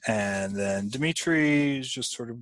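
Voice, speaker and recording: A man hosting a casual book discussion, talking in a halting, thoughtful way and often trailing off. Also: mid-range voice, a bit bright, no echo, clean studio sound.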